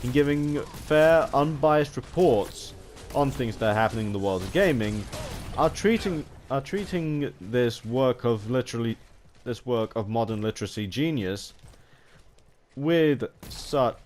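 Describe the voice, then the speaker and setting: A man giving unscripted, rambling commentary as a gaming video host, halting and often restarting his sentences mid-word. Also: medium pitch, animated, darkish tone. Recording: home recording with background noise.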